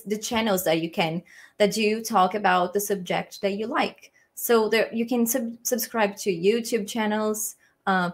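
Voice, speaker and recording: Young Brazilian woman, friendly live-stream teacher, halting English with fillers and restarts. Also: medium pitch. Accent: Brazilian Portuguese. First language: Portuguese. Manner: hesitant, many ums and restarts, friendly. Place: small room at home, indoors. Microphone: webcam framing, desk mic.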